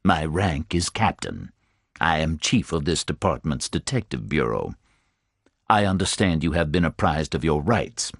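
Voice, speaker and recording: A composed detective captain speaks in a deep, rumbling, precise voice, as a male narrator plays him. His diction is formal and measured. The delivery is slow and dry. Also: lively intonation, vocal fry, husky, dry recording, exaggerated voice.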